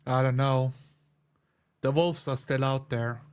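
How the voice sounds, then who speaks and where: A man playing a tabletop game, speaking in a measured, deliberate way as he roleplays and narrates his character. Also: mid-range voice, steady tone, a little mellow, clear, resonant tone.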